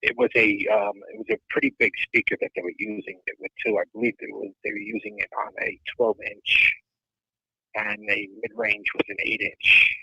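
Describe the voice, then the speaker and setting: A male caller phoning into a podcast, halting and searching for words as he explains. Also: lowish voice, fairly even intonation, vocal fry, sharp tone, breathy edge, no room sound. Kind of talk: phone call-in chat. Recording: muffled phone line.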